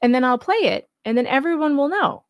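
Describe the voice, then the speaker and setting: A woman's voice: high voice, expressive, brisk, no echo, clean studio sound. A woman hosting a live commentary stream on a legal case, casual but argumentative and skeptical, repeating points for emphasis. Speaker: livestream commentator and video host. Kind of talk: casual live commentary on a legal case, reading claims aloud and rebutting them.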